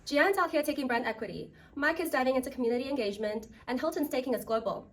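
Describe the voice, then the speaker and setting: A woman's voice: sits high, quick pace, background noise. A woman hosting a student role play like a game show, with a playful, jokey, theatrical scripted delivery. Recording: roomy, distant mic, loud music.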